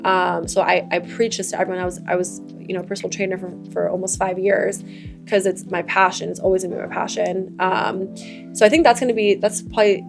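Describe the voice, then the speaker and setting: Young woman with a flat, level voice. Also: lowish voice, fast, vocal fry, music in the background. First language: Farsi. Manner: earnest, enthusiastic about fitness. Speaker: podcast co-host. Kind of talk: casual chat.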